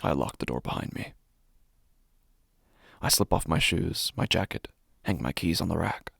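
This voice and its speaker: A man performing first-person horror narration as an uneasy city dweller, in hushed, deliberate, short phrases. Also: sits low, lively intonation, gravelly, slightly rough, no room sound, studio quality, exaggerated voice. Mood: tense, subdued. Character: uneasy city dweller recounting a creepy homecoming. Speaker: narrator of a horror fiction podcast.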